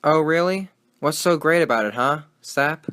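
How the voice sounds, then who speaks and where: A man's voice: fairly high pitch, moderate pace, fairly bright tone, close to an everyday voice. A man playing a skeptical, wisecracking 1920s radio sports host, with folksy period slang and a sardonic manner.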